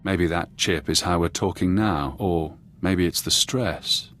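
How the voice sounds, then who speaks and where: A sinister, all-knowing phantom spymaster in a video game. His voice is low and measured, cryptic and menacing, with clear music playing underneath. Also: fairly bright tone. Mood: cold, knowing. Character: sinister hallucinated male ex-intelligence watcher, calm and knowing. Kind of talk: video game cutscene voice acting.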